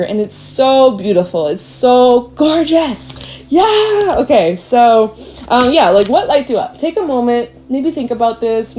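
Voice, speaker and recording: A woman with a congested nose giving a casual tarot reading, gently encouraging and asking her viewers question after question. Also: mid-range voice, a little hiss or hum. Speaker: tarot reader.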